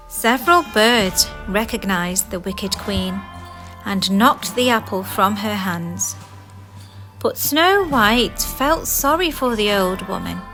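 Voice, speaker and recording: A woman narrating a scripted children's fairy tale in an expressive, deliberate way. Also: medium pitch. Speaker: storyteller.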